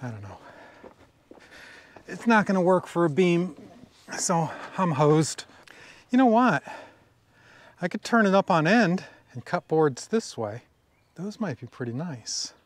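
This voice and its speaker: A man's voice: sits high, big pitch swings, measured pace, long pauses. A middle-aged man running a sawmill outdoors, giving casual running commentary to a camera on the mill as he works, musing and admiring the wood. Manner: musing, talks to himself, admiring asides. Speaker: sawmill operator. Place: outdoors at a sawmill in a wooded yard. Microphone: action camera on the mill.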